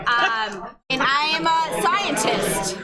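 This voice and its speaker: A woman's voice: high-pitched, animated, slow pace. A woman casually introducing herself as a player at a live tabletop game. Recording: loud crowd, live convention stage.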